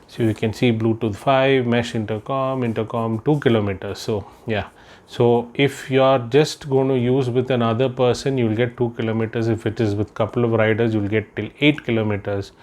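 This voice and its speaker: A man's voice: medium pitch, fairly even intonation, moderate pace, darkish tone. A man doing a casual unboxing vlog indoors, thinking aloud with lots of fillers as he handles the product. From India. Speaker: unboxing vlogger. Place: indoors, room with patterned floor rug.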